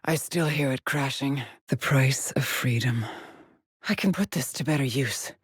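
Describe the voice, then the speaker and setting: A weary, haunted woman astronaut from a video game. Her voice is low and very dry, close and without room sound. She speaks in clipped, terse phrases with a brooding edge. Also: a little slow, husky, dry recording, heavily acted. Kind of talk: scripted game character voice lines.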